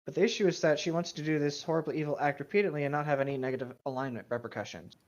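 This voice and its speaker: A man's voice: a little high, rapid-fire, lo-fi sound. A man co-hosting a gaming story show, reading aloud and commenting casually. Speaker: co-host reading and commenting on tabletop gaming stories.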